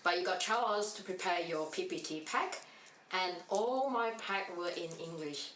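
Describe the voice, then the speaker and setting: A woman with a lowish voice tells an animated story in a relaxed chat. She sits a little back from the mic. The room rings with echo. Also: moderate pace, slight background noise. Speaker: entrepreneur guest. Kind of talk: casual chat. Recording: echoey room, mic at a distance.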